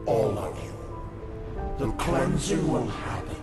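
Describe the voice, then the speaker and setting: An actor playing a menacing, contemptuous godlike villain in a video game, speaking in a pompous, deliberate, taunting manner. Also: male voice, on the low side, animated. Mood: menacing contempt. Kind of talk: dramatic game voice acting. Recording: echoing voice under loud music.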